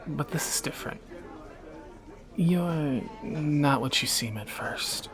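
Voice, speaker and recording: A man's voice: a little high, animated, slow pace, a bit bright, some chatter behind, a little hiss or hum. A man playing a wry young thief in a romantic audio roleplay, teasing and sardonic in a conversational way, anxious yet affectionate. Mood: tense, hushed. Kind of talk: scripted romantic audio roleplay, spoken to the listener.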